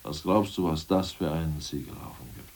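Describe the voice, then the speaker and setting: A man playing a crude, scheming ousted party functionary in a radio play, boastful and plotting, muttering one moment and loud the next. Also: rumbling low, brisk, distant mic. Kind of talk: 1980s radio play acting.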